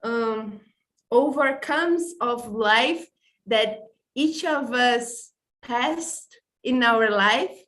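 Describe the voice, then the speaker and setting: A woman's voice: fairly high pitch, very slow, fairly bright tone. A woman from Brazil whose first language is not English, hosting an online podcast in a casual, effusive way, stumbling and apologizing now and then, on a video-call mic. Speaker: podcast host.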